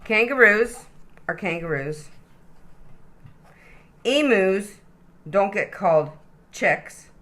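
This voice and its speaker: Woman as a grumpy, indignant koala, sharp and animated. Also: mid-range voice, slow pace, pauses often. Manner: indignant, exclaiming, emphatic. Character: grumpy male koala fed up with being misnamed.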